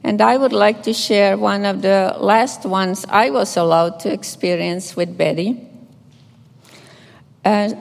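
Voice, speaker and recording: Older Finnish-accented woman, slow and measured. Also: medium pitch, vocal fry, darkish tone. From Finland. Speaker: nun giving a memorial tribute. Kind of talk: scripture reading and eulogy. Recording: echoey hall reverb.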